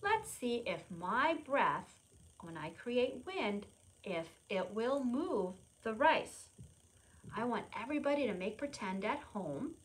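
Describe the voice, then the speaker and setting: A woman's voice: a little high, very animated, slow, poor audio. A woman leading a storytime lesson for small children, explaining in simple repeated phrases and prompting them to join in. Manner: refers to self in third person. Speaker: preschool storytime presenter at a public library. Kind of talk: children's lesson, demonstrating to young viewers at home.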